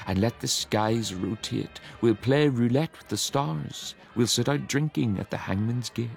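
A man giving a measured, declamatory reading of a long poem. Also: low-pitched, gravelly. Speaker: poetry reader. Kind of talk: dramatic poetry reading.

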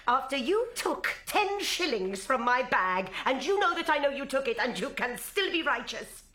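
Fiery, high-pitched nagging wife. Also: female voice, big pitch swings, normal speed, bright, forceful tone, heavily acted. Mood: reproachful. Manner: scolding, sarcastic, emotionally volatile. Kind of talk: dramatic stage-play acting.

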